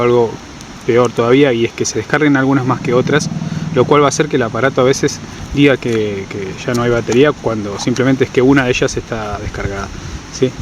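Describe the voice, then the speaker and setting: A man giving an informal, didactic tutorial, often checking understanding with short questions. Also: medium pitch. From Argentina. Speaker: outdoor guide giving a tutorial. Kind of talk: informal instructional explanation. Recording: clear steady ambient background noise.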